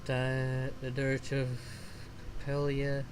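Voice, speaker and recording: Slow-talking male streamer. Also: mid-range voice, background noise. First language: Spanish. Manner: relaxed, slow, chuckling asides. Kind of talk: casual gameplay commentary.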